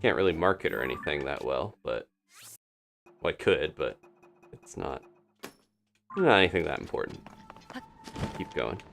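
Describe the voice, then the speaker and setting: A man's voice: rumbling low, expressive, normal speed, gravelly, pauses often, a bit bright, soft background music. A man doing casual live commentary as he plays a video game, thinking aloud with playful reactions. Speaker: gaming live streamer.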